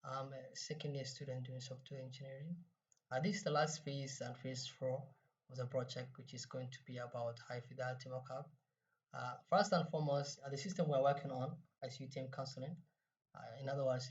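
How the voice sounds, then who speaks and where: A man in his twenties speaks with a Somali accent, presenting a project as a student would. His delivery is halting and he often repeats words as he goes. The sound is muffled and lo-fi, picked up a little way from the microphone. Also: medium pitch, steady tone, distant mic. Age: 20s. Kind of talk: semi-scripted project presentation.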